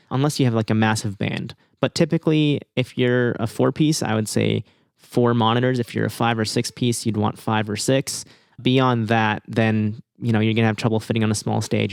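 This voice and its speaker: A man's voice: medium pitch, gravelly, a little mellow, no echo, studio quality. A man hosting an informal instructional podcast, explaining things conversationally and speaking straight to the listener. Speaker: podcast host giving advice.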